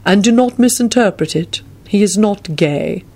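A smooth, deferential manservant from a storybook, played by a woman, politely cheeky and dry. His speech is formal and moves freely up and down in pitch. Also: lively intonation, moderate pace, a little mellow. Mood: casual. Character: smooth, dryly witty longtime manservant to a lord.

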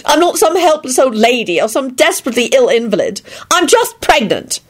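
A woman's voice: high-pitched, big pitch swings, fairly quick, pitched above the performer's own voice. A woman voicing a stubborn, moody, tearful pregnant woman in a storybook, with dramatic wailing delivery. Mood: sulky.

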